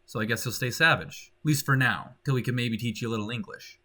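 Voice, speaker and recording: A man's voice: mid-range voice, quick pace, pushed and bright. A man voicing an earnest, weary frontiersman in a story, with folksy and hesitant rustic phrasing. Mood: gently persuading. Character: plainspoken one-armed frontiersman, earnest and weary.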